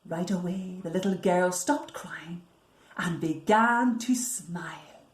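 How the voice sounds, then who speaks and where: A woman reading a picture book to young children as a storyteller, deliberate and expressive, often hushed for effect. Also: fairly low pitch. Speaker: library storyteller. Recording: roomy, echoing sound.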